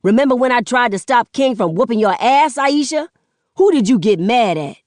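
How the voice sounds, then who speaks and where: A woman voicing an angry young man shouting. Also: medium pitch, animated, no echo, studio quality, close to an everyday voice. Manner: rapid, shouting, swearing.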